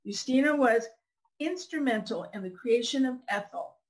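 A woman's voice: on the high side, expressive, moderate pace, low-quality recording. A woman podcast host reading scripted introductions and putting questions to a guest.

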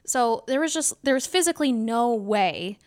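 A woman's voice: a little high, expressive, moderate pace. A woman talking casually and earnestly on a podcast as part of a theater company, making her points with emphasis. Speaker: theater company member and stage manager.

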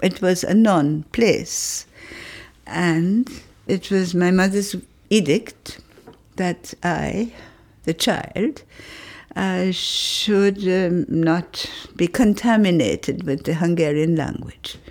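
An older woman, a retired professor, recalling her family in an interview, thoughtful and hesitant with pauses and fillers. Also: on the low side, darkish tone. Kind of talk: reflective interview recollection.

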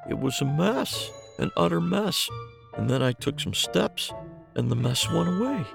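A man's voice: on the high side, sing-song, unhurried, gravelly, over music, voice pushed up, exaggerated voice. A man voicing a simple, earnest, wonderstruck male spectator in an audiobook story, speaking in short repeated phrases. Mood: earnest wonder. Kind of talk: audiobook dialogue.

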